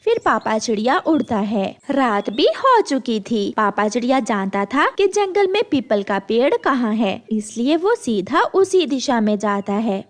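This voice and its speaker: A woman's voice: a little high, very animated, measured pace, few pauses. A woman narrating a scripted moral story for children.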